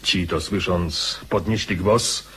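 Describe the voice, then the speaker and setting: Raspy, aggrieved old-world officer. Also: male voice, sits low, steady tone, slow pace, sharp tone, heavily acted. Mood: lamenting, indignant. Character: aggrieved officer chronicling his army's mutiny. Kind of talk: archaic radio play monologue.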